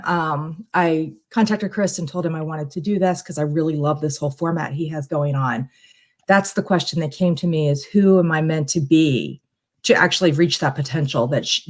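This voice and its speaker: A woman's voice: low voice, normal speed. A middle-aged woman chatting casually as a guest on a live stream, talking in a reflective, long-winded way. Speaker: guest tarot reader bringing a question.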